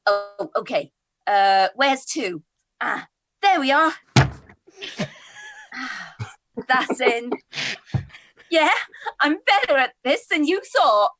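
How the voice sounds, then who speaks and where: A cheery woman at the pub, played by an actress in a radio comedy. Her voice is high, bright and very animated, with a playful, competitive streak and a chatty manner. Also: slow pace, pauses often, exaggerated voice. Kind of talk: radio comedy play reading.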